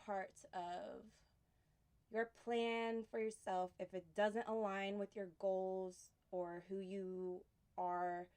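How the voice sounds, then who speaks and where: A woman from Houston giving a casual tarot reading, soft and unhurried, pausing often, a little sniffly from allergies. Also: mid-range voice, flat delivery, clear, resonant tone. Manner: soft, unhurried, reflective delivery with pauses. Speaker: tarot reader.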